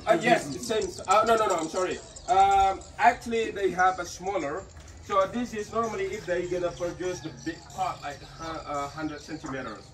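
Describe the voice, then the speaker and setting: A man's voice: high-pitched, moderate pace. A man with an Indonesian accent, a local tour guide who casually explains pottery making to tourists, joking and apologizing. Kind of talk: guiding tourists, explaining a craft. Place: outdoors in a pottery village. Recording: distant outdoor camera sound, rooster noise. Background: roosters and village ambience.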